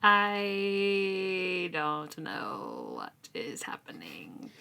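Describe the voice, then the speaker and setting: A woman with a slightly low voice talks through a novel at an unhurried, leisurely pace. Her delivery is casual and chatty, full of fillers as she recaps and reacts. It sounds like a relaxed book club chat between friends. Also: gravelly, over a noisy crowd. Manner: chatty, relaxed, often says um and like. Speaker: book club podcast co-host.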